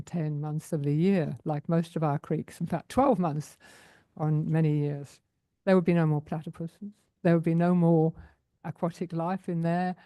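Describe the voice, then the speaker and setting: A woman addresses a council meeting as president of a local community group. Her voice is low and mellow, and her delivery is earnest and unhurried. The recording is dry and close. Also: no room sound. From Australia. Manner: earnest, unhurried, with many fillers. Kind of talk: public comment at council meeting.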